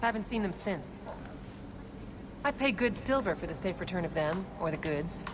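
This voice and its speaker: An actress playing a shady, businesslike smuggler boss in a video game, with dry sardonic delivery. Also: female voice, fairly low pitch, animated, normal speed, crowd noise, lightly acted. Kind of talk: video game dialogue acting.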